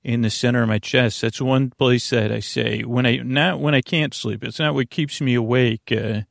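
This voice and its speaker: A man hosting a bedtime podcast in a creaky voice, rambling in a lulling, halting, meandering way. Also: medium pitch, fairly even intonation, no room sound, studio quality. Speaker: podcast host.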